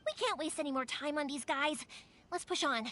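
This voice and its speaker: A woman's voice: high-pitched, brisk, bright, forceful tone, a little hiss or hum. A woman voicing a spirited, headstrong young fireworks maker in a video game, emphatic and impassioned. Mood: cheeky, brisk. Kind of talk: English video game voice acting.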